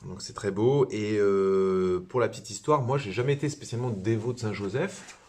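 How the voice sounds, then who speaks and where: A low, husky male voice of a priest from southern France talking informally about faith. He speaks haltingly, full of fillers and repeated starts, as if thinking aloud to his viewers. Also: darkish tone. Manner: hesitant, many fillers and restarts. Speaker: priest and religious brother. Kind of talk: informal spiritual teaching to camera.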